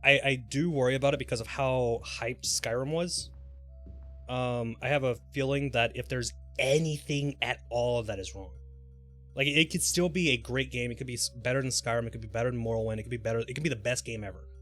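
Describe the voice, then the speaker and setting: A man's voice: mid-range voice, a little fast, a bit bright. A man chatting casually and informally on a live gaming stream, speculating in a rambling way. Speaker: game livestreamer. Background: background music.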